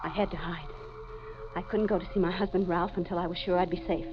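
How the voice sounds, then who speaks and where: A woman's voice: fairly low pitch, steady tone, fast talker, very noisy, natural voice. An actress playing a haunted, desperate wife who confesses her murders, in intense, melodramatic 1940s radio mystery style. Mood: haunted, confessing. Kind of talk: 1940s radio mystery acting, first-person narration. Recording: dull old 1940s radio recording with music.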